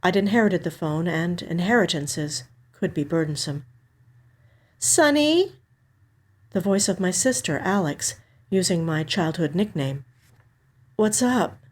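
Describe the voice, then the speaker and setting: A woman narrates a literary mystery story in the first person. Her voice sits a little low, and her reading is measured and expressive. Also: slightly rough. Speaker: actor reading a short story.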